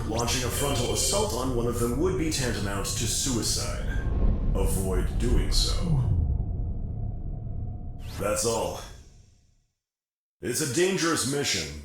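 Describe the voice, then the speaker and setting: An actor playing a businesslike mercenary mission broker in a game, giving briefings in a casual, matter-of-fact way. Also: male voice, on the low side. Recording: echoing, distant, music behind.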